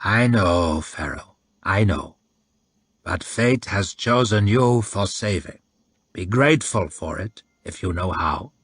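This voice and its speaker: A man voicing an old man who speaks in low, hushed warnings. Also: animated, slow pace, husky, dry recording, exaggerated voice. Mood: weary. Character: old man, quiet and stern mentor.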